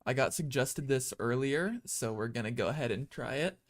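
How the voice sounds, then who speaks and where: Soft-spoken young man with a drawl. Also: medium pitch, normal speed. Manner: soft, calm, hushed talk. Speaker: streamer. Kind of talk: quiet casual gameplay chat. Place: room at home at night.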